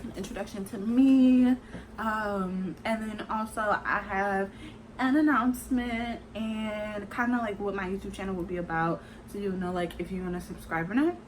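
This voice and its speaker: A young woman in her twenties chats straight to camera in a loose, rambling way, with plenty of "like" and "kinda". Her pitch sits in an ordinary middle range. A faint hiss runs under the recording. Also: normal speed, rough recording. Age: 20s. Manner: chatty, rambling, lots of "like" and "kinda". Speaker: vlogger. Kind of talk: casual talk to camera.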